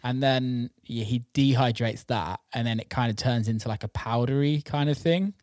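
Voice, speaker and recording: A man's voice: medium pitch, normal speed, darkish tone, no room sound, clean studio sound. A British man chatting casually and informally, enthusiastic and given to swearing. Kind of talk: casual chat about food.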